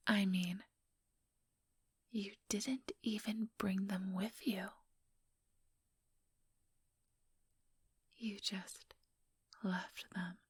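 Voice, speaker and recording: Woman acting as female vampire elder, husky whisper, unhurried, condescending, coolly menacing, ASMR roleplay. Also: medium pitch, no room sound, clean studio sound, full character voice. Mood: disdainful. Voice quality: breathy whisper. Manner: slow, deliberate, patronizing.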